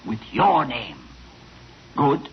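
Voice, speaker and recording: An actor in an old-time radio drama playing a jovial, pushy department-store owner with a hearty, emphatic delivery. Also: male voice, mid-range voice, very animated, drawn-out words, husky, exaggerated voice. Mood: hearty, boastful. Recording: muffled, hissy vintage radio recording.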